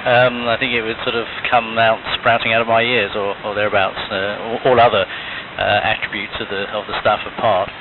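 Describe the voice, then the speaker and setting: A man chatting on an amateur radio net, hesitant, full of ums, and often clearing his throat. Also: fairly low pitch, level tone, moderate pace, narrow, tinny audio. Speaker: ham radio operator. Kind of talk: casual on-air radio chat. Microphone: radio transceiver mic heard over the air. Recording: noisy, band-limited shortwave radio hiss.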